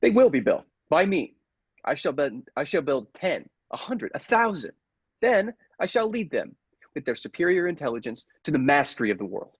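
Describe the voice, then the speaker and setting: A man playing a megalomaniac robot who passes for human, a coldly menacing villain speaking formally and deliberately in a classic radio play. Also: a little high, sing-song, slightly rough, dry recording, dull audio. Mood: grandiose.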